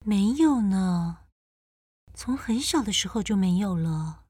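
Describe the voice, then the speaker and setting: A woman's voice: mid-range voice, lively intonation, slow, dark tone, breathy edge, dry recording, clean studio sound. A woman playing a well-mannered, puzzled, mysterious young lady in a radio drama. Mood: polite, soft. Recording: music under the voice.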